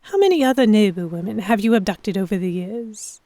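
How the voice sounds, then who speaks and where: A woman's voice: medium pitch, animated, fairly quick, dark tone, no room sound, natural voice. Woman acting stubborn, flustered young noblewoman, close dry sound. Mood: indignant, teasing. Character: stubborn, flustered young noblewoman narrating her own abduction tale. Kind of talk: first-person audio drama narration.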